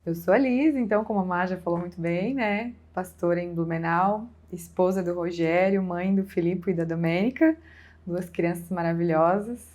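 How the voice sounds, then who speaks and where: A woman's voice: on the low side, moderate pace, darkish tone. A woman pastor with a southern Brazilian accent, giving an informal personal testimony as a guest, with frequent conversational check-in tags.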